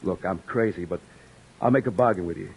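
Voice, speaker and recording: A wisecracking tough beat cop. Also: male voice, low-pitched, brisk, creaky, a little hiss or hum, low-quality recording. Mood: wry. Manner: dry wisecracking, streetwise slang. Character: wry, weary male beat cop, adult. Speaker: police officer narrating. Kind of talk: 1950s radio drama acting.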